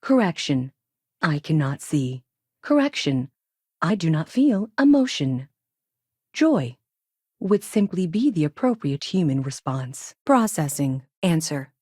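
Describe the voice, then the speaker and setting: A sentient space probe intelligence for a video game. The voice is low and dry, close and free of room sound. It speaks in clipped, literal, robotic phrases, announcing each statement like a label, with an earnest, childlike logic. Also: female voice, sing-song, takes it slowly, mellow, husky, no room sound, full character voice. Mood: matter-of-fact. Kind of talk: scripted video game dialogue.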